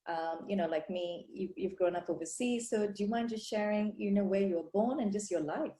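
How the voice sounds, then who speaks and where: A woman's voice: medium pitch, a little fast, dark tone. Upbeat woman host in an echoey room. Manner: effusive, upbeat, frequent fillers. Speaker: clinic owner, interviewer. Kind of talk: enthusiastic interview hosting.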